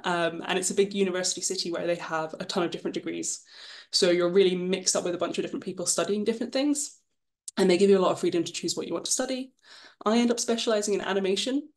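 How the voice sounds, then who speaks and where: A woman's voice: on the low side, fast talker. A woman in her twenties, from Scotland, casually presenting her path as an illustrator, chatty and self-deprecating, with frequent ums. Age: 20s. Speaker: guest illustrator.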